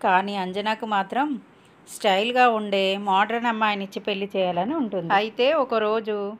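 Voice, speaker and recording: A female storyteller reads a scripted moral tale. Her voice sits at an ordinary middle pitch for a woman. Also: moderate pace.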